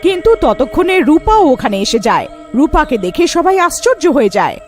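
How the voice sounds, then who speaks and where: A woman's voice: high voice, big pitch swings, moderate pace, soft background music. A woman narrating a scripted story as its storyteller.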